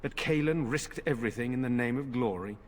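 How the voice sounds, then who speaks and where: A man voicing a noble lord who speaks in a formal, measured way. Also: medium pitch, a little hiss or hum, close to an everyday voice. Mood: grave. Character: noble lord, grave and courteous.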